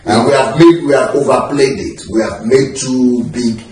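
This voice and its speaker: A man talking in a relaxed, emphatic way and gesturing freely as he lounges on a sofa in a living room, recorded from a distant camera. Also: medium pitch, normal speed. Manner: animated, gesturing, emphatic with laughs. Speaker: pastor being interviewed. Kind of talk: relaxed conversational interview. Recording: echoey room, mic at a distance.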